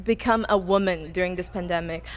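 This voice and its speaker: A woman's voice: on the low side, brisk. A woman in her twenties who grew up in Macau, a beauty pageant candidate answering interview questions in a bubbly way and mixing Tagalog words into her English. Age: 20s.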